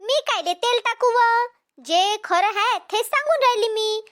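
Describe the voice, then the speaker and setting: A woman voicing a gossipy, meddling cartoon village woman with a Vidarbha Marathi accent, tattling and egging others on in a pitched-up voice. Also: animated, unhurried, fairly bright tone, exaggerated voice. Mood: complaining. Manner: tattling, taking sides. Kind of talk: cartoon comedy dialogue.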